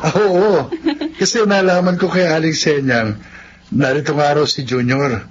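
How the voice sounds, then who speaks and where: A man's voice: a little high, normal speed, lightly acted. Guy playing shy nice young filipino man, radio drama, muffled audio w faint noise. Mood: kind, admiring. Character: considerate, slightly shy young male family friend. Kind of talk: Tagalog radio drama acting.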